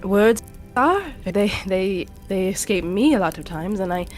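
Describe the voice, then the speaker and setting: A woman playing a gentle, caring male companion in a fantasy audio drama, speaking hesitantly and earnestly. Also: mid-range voice, expressive, a little slow, soft background music, close to an everyday voice. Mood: warm, encouraging. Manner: hesitant, earnest, stumbling over words.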